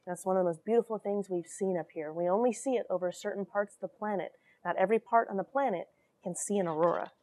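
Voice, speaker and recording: A woman in her forties reading and explaining a story to children in an animated, questioning way from a small airlock. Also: medium pitch, fast. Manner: animated, expressive, addresses young listeners with questions. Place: small cramped metal space station airlock.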